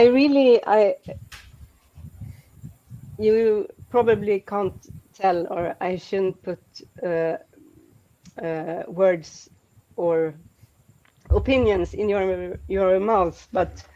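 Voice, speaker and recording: Swedish woman, native Swedish speaker, slow unhurried pace, halting with lots of fillers, casual stream chat on a headset. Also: mid-range voice, steady tone, dark tone, dull audio. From Sweden. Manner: halting, with many fillers and restarts.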